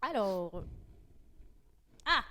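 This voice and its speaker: A woman's voice: medium pitch, big pitch swings, very slow, pushed and bright. A woman hosting a music quiz talk show, speaking in casual, slangy banter.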